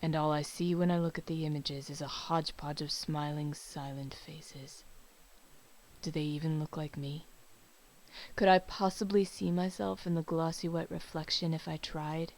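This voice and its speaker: Low, creaky-voiced woman reading poetry. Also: steady tone, moderate pace, a little mellow, low-quality recording. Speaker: poetry reader. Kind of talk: dramatic spoken-word poetry reading.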